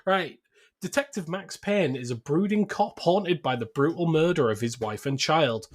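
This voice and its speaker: Higher-voiced man hosting a podcast. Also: lively intonation, normal speed. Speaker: podcast host. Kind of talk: casual film discussion reading from notes.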